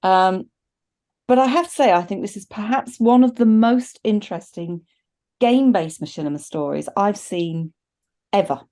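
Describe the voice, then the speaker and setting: Mellow-voiced middle-aged woman, enthusiastic. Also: medium pitch, normal speed. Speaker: film review panelist. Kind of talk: casual review chat. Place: indoors on a video call.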